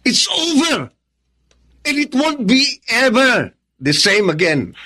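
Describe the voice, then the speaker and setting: Radio drama: protective, distrustful grown son, raised pitch, very animated, insistent. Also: male voice, slow pace, slightly rough, heavily acted. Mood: indignant. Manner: accusing, insistent. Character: suspicious, indignant, protective grown eldest son.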